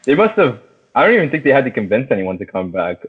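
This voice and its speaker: A man's voice: mid-range voice, big pitch swings, quick pace, breathy edge. A man chatting casually and enthusiastically about movies as a podcast co-host, swearing freely. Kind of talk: casual movie chat with friends. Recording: thin phone-like, radio-like sound.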